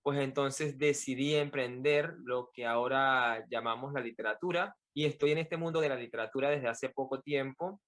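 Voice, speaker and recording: A man's voice: mid-range voice, normal speed, hardly any pauses, a bit bright. A man from Venezuela with a Venezuelan accent, speaking reflectively as an interview guest and often repeating words as he gathers his thoughts. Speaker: podcast guest, author. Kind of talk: personal interview account.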